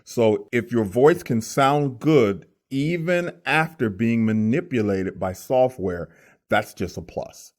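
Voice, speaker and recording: A man's voice: mid-range voice, animated, slow. A man speaking as a voice actor giving a casual, scripted tutorial.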